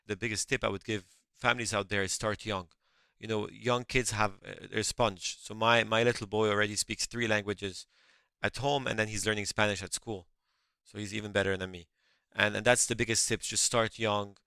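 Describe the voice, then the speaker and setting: A man's voice: on the low side, little pitch movement, moderate pace, sharp tone, clear, resonant tone, no echo. A middle-aged man who grew up in France and speaks English as a later language, answering interview questions in an earnest way, often restarting his words. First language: French. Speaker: guest, company founder.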